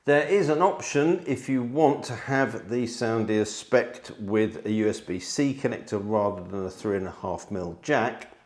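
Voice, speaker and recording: A man in his forties with a fairly low voice talks through a product for viewers. His delivery is conversational and explanatory. He is recorded indoors on a close mic. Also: measured pace, hardly any pauses, a little mellow. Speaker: product presenter. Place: indoor studio, seated at a table.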